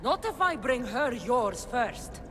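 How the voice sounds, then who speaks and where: A woman's voice: fairly high pitch, big pitch swings, slow pace. An actress playing a tough, defiant young female mercenary in a video game, blunt and coolly confident. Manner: cool, blunt, dryly confident. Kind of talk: video game cutscene acting.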